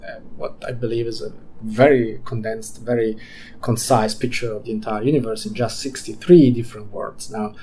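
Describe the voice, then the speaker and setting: A man's voice: on the low side, fairly even intonation, normal speed, mellow, slightly rough, poor audio. A man who grew up in Switzerland and whose first language is Italian, an author answering interview questions thoughtfully and often repeating words as he restarts.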